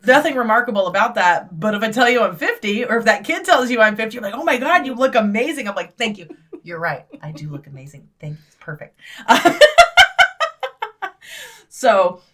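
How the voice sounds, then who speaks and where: A woman in her thirties co-hosting a podcast, chatting in a blunt, brash way with plenty of swearing and quick laughs. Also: on the high side, animated, a bit bright. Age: 30s. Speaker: podcast co-host. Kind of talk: casual chat.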